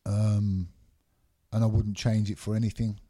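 A middle-aged man from Scotland who grew up in England, speaking with a dark, mellow tone. He tells long, meandering stories with frequent fillers and restarts, in a relaxed podcast chat. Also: lowish voice, unhurried. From Scotland, raised in England. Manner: candid, rambling storyteller with many fillers. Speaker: MMA referee, guest. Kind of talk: casual podcast interview.

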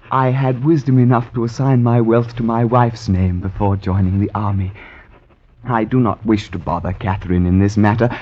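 An actor playing a meek, gullible young husband and unhappy soldier, hesitant and plaintive, in an old-time radio drama. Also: male voice, mid-range voice, darkish tone, close to an everyday voice. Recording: dull, lo-fi old radio recording.

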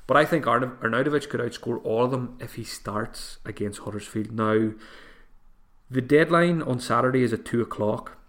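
Man, podcast host, hoarse voice, thinking aloud, restarts phrases, mid pitch. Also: normal speed, a bit bright. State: voice getting hoarse. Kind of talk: solo podcast advice talk.

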